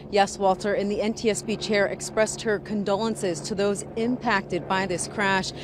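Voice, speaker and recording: A woman's voice: mid-range voice, steady tone, normal speed. A woman with an American accent giving a scripted live field news report as a reporter. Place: outdoors, live from a city street. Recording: outdoor location with clear background noise.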